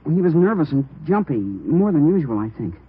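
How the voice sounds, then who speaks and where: A man's voice: a little high, big pitch swings, moderate pace, slightly rough. An actor playing a polite, worried seventeen-year-old boy in a 1950s radio crime drama. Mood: earnest, uneasy. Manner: earnest, polite, hesitant. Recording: narrow-band old radio recording.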